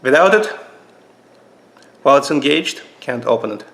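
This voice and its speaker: A man with a Russian accent casually reviewing knives at a table for his channel, halting with many fillers and restarts. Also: medium pitch, animated, gravelly, a bit bright. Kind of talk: casual knife review vlog. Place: indoors at a table.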